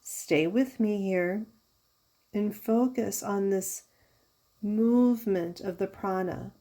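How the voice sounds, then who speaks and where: A woman leading a guided yoga nidra meditation as a teacher, giving soothing, unhurried instructions with pauses. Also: mid-range voice, dark tone. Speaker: yoga therapist guiding a meditation.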